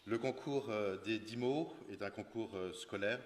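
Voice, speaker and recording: Deep-voiced official greeting dignitaries. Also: male voice, measured pace. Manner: formal, hesitant, many fillers while greeting dignitaries. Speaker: government official. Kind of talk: formal address with greetings. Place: large ceremonial hall. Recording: echoey hall with faint noise.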